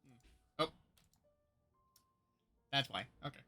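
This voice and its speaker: A game streamer giving casual live commentary in an expressive, chatty way. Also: takes it slowly. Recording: game music behind the voice. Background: video game music.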